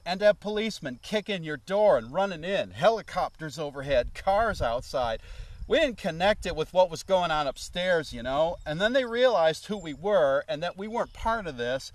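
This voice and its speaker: A man with a slightly high voice spins a loose, rambling tale, dropping "you know" asides as he goes. He sounds a little off the mic, as if the recorder sits some way across the room. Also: no room sound, recorded from a distance. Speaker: former road manager recalling the past. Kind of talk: casual anecdotal storytelling.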